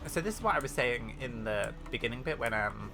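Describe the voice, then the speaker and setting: A woman giving casual, excitable gaming commentary, rambling and interrupting herself as she plays. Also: extremely low pitch, fairly quick, gravelly. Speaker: gaming video host. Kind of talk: casual let's play commentary.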